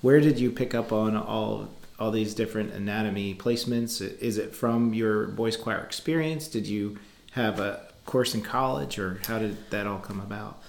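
Male host, a bit low, curious questions, echoey room. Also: moderate pace, creaky. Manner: curious, affirming. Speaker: interviewer. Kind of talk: interview questions.

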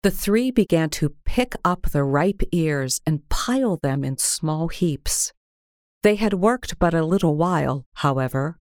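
A woman reads a storybook tale in a low register. Her delivery is slow and gentle, with careful measured phrasing. The sound is dry, with very little room around it. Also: no room sound. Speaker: story reader. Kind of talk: scripted storybook narration.

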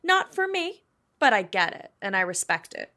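Woman podcast co-host, medium pitch, playful and reactive, swears easily in loose banter. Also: big pitch swings, normal speed, sharp tone. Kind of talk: casual banter.

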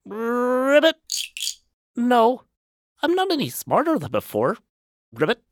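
An actor voicing a smug, educated talking frog in an audio storybook drama, punctuating speech with croaked ribbits. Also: medium pitch, huge pitch swings, slow pace, dry recording, clean studio sound. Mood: matter-of-fact, smug. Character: talking frog, well-schooled, matter-of-fact, slightly smug male.

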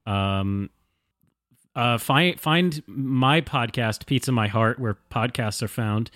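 A man's voice: medium pitch, takes it slowly, fairly bright tone, no room sound, studio quality. A man co-hosting a podcast in casual banter, laid-back and rambling as he talks. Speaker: podcast co-host.

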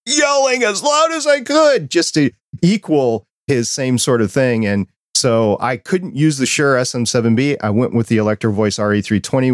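A man with a quiet voice hosting a podcast, asking curious, friendly questions and chatting about microphones into a dynamic mic. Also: medium pitch, no echo, studio quality. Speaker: podcast host. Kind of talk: interview questions and chat.

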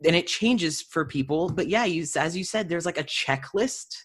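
A woman's voice: on the low side, animated, moderate pace, breathy edge. A woman chatting informally in an interview, slipping into imagined dialogue as she talks. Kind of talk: casual conversational interview.

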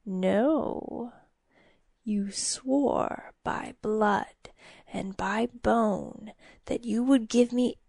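Storybook imp demon, sly and hissing, drawn-out and quiet, read by a woman. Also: vocal fry, dry recording, heavily acted. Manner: slow, low and quiet, drawn-out menace.

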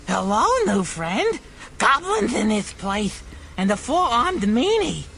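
A woman voicing a small furry alien creature in an audio game, friendly, eager and skittish, in a loud stage whisper. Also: medium pitch, very animated, slow pace, vocal fry, a little hiss or hum, heavily acted. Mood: nervous, friendly.

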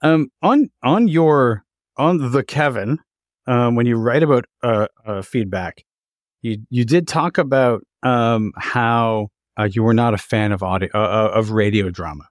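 A man's voice: mid-range voice, measured pace, no echo, studio quality. A man with a Canadian accent hosting a theater podcast, moving between scripted introductions and conversational interviewing.

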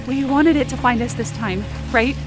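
A woman's voice: sits high, normal speed. A woman performing first-person scripted fiction narration in a suspenseful, intense dramatic manner. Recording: music bed under the voice. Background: dramatic background music.

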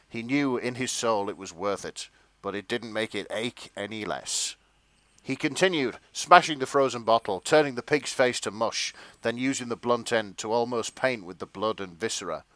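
A man with a theatrical, expressive delivery, co-hosting and narrating a comic dramatic reading with casual chat. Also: fairly low pitch, dry recording. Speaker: narrator and co-host.

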